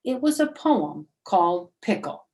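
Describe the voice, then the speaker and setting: Female children's storyteller, animated read-aloud with chatty asides. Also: mid-range voice, measured pace. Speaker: storyteller reading a children's book.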